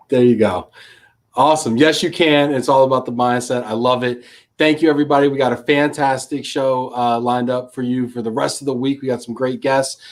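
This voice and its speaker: A man hosts a live online book panel, reading out viewer questions in a mid-pitched voice. He trips over words and chuckles at himself. He is heard through a video-call setup. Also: steady tone, normal speed. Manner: stumbling, self-deprecating, laughs at himself. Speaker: host.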